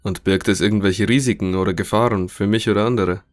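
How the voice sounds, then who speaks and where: A man's voice: lowish voice, steady tone, fast, faint music behind, natural voice. A man playing a courteous, inquisitive scientist conducting an interview in an audio drama, matter-of-fact. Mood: businesslike, curious.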